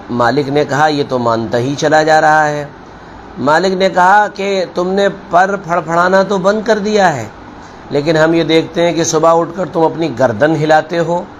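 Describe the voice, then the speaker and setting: Guy preaching like a maulana, highish voice, slow deliberate storytelling, bit of noise behind him. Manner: deliberate, admonishing, repeats phrases for emphasis. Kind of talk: sermon with storytelling parable.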